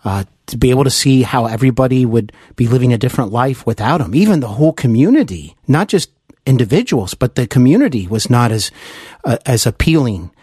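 A man's voice: mid-range voice, sing-song, a little fast, mellow, slightly rough, dry recording. Male host, mid-range voice, dry close recording; effusive, wordy, feels his way through phrases. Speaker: interview host. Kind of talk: conversational interview.

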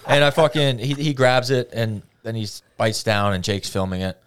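A man's voice: medium pitch, moderate pace, clean tone. A comedian guest telling a wild story in casual podcast chat, swearing and laughing as he goes. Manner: laughing, profane, animated storyteller.

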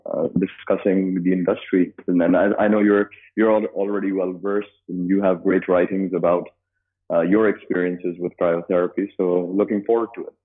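Man, from Dubai, interview guest, halting with fillers, deep voice, phone-line sound. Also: flat delivery, slightly rough. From Dubai, UAE. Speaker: guest, business co-founder. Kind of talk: casual interview answers. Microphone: phone call.

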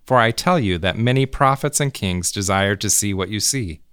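A man reading scripture and a short devotional in a measured, solemn way. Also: lowish voice, fairly bright tone, smooth, dry recording, clean studio sound. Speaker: church music director, scripture reader.

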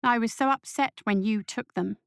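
A woman's voice: a little high, normal speed, gravelly, clean tone, no room sound, clean studio sound. A woman voicing a sly, accusing, mischievous ghost boy in a horror story.

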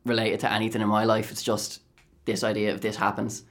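A man's voice: fairly low pitch, monotone, fast talker, fairly bright tone. A man speaking informally as a musician guest in a radio interview, explaining things in loose, casual phrases. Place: radio studio. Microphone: studio broadcast mic.

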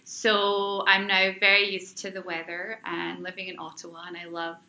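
A woman's voice: on the low side, flat delivery, moderate pace, pushed and bright. A middle-aged woman with a Scottish accent, chatting through interview answers and often repeating phrases as she rephrases. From Scotland. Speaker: interview guest, lawyer. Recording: slightly dull, roomy interview recording.

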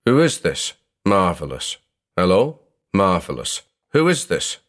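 A man's voice: deep voice, wildly swooping pitch, unhurried, a bit bright, heavily acted. Eerie phone mimic for horror audiobook male narrator acting, mechanical and gurgling, mindless repetitive prattle. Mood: eerie babbling. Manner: repetitive, babbling.

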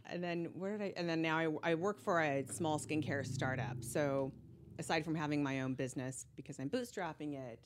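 A woman's voice: low-pitched, moderate pace, slight background noise. A woman from California, a guest on a casual podcast, talks in a plain, dry way in a studio. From Riverside, California.

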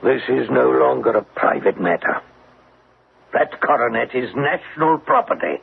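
Creaky, anguished older banker father. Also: medium pitch, animated, normal speed. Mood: stern, pleading. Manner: formal, emphatic, troubled. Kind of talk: classic radio drama acting. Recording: tinny, noisy old radio recording.

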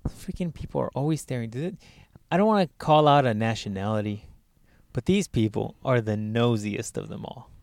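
A man with a noticeably nasal voice talks in a loose, animated way, cursing casually as he chats. The sound is dry and close, with no room around it. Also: mid-range voice, a little fast, creaky, no echo. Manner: animated, swears casually, laughs easily. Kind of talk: casual chat. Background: sitting in a parked car.

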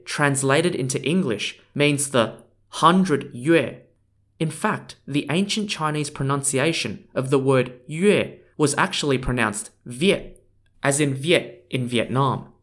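Male podcast host, mid-pitched, earnest scripted delivery, spells out names. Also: a bit bright. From Australia. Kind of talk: scripted history podcast narration.

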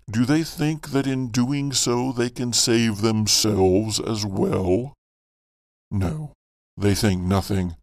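A man playing a brooding, self-absorbed occult villain in a theatrical, deliberate, melodramatic monologue. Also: lowish voice, a little mellow, dry recording, clean studio sound. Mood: scornful, ominous. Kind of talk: dramatic scripted monologue.

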